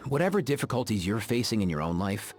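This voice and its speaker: An actor voicing an easygoing, friendly young veterinarian in a video game, with faint music behind. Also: male voice, on the low side, sing-song, fast. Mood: reflective. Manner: easygoing and friendly, with frequent laughs. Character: laid-back young man, a veterinarian who keeps saurians. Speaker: game character. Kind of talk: game voice acting.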